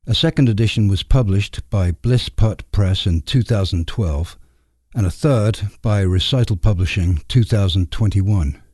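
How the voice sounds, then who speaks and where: A man's voice: lowish voice, measured pace, darkish tone, husky, no echo. A man hosting a podcast, introducing and interviewing in a scripted style from a studio.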